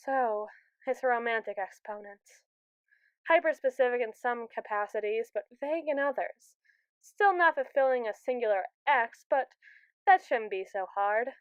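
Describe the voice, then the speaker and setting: A woman's voice: a little high, lively intonation, moderate pace, smooth, no room sound, lightly acted. A woman playing a haughty, impatient evil robot who scorns humans in an ASMR audio roleplay, condescending, sarcastic and theatrical. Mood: smug, reasoning.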